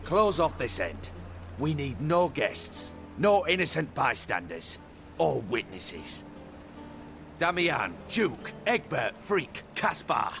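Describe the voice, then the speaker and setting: A man's voice: on the high side, very animated, slow pace, a bit bright, soft background music, exaggerated voice. An actor playing a cruel, haughty fantasy king in a video game, commanding and formal. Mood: menacing, imperious. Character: cold, imperious, cruel king of a fantasy realm. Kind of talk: dramatic video game voice acting.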